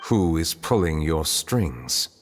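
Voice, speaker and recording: A grave, ancient magistrate from a fantasy game. His voice sits very low, and he questions people in formal, composed, restrained phrases. Music plays underneath. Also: sing-song, slow pace, exaggerated voice. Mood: stern, calm. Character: solemn, composed, centuries-old male Chief Justice interrogator. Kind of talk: scripted video game voice acting.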